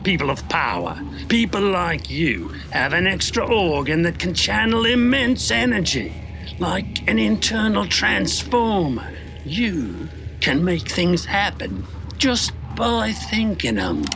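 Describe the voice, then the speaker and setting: An actor playing a cruel, taunting villain in a cinematic audio drama, gloating with a sneering, menacing delivery. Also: male voice, high voice, very animated, slow pace, vocal fry, heavily acted. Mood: mocking, explaining. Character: taunting, cruel male villain gloating over his captive. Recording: loud cinematic music behind. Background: dramatic music score.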